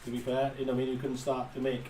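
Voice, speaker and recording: A man's voice: mid-range voice, completely flat delivery, rapid-fire, dark tone, recorded from a distance, rough recording. A man hosting a livestream model build, chatting casually and laughing often, with lots of "you know what I mean". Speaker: livestream host. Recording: voice a bit off-mic with faint room noise.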